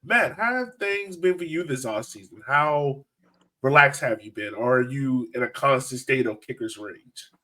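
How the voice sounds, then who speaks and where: Animated man hosting a casual podcast. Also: mid-range voice, moderate pace. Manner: joking, exclamatory banter. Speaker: podcast host. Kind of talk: casual group chat.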